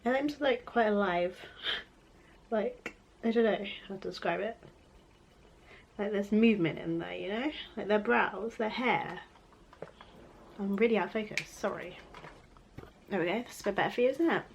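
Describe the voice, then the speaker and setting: A young woman chatting casually through a makeup tutorial, her voice a little croaky from a cold, rambling and laughing easily. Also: mid-range voice, moderate pace, pauses often. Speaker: beauty vlogger.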